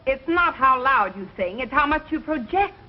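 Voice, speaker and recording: A dry, exasperated quartet singer. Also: female voice, high voice, lively intonation, moderate pace, a little hiss or hum. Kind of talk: 1960s TV sitcom acting. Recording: dull old TV soundtrack with music and audience laughter.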